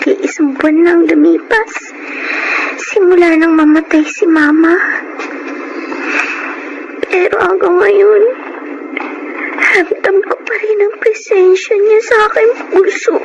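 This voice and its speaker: Humble Filipina orphan girl for radio drama: tiny high voice, slow, earnest delivery, noisy audio. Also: fairly even intonation, highs cut off, exaggerated voice. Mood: subdued, reflective, then tearful longing. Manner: polite, respectful, earnest. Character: orphaned girl, poor bead craftswoman, devout, humble, grieving.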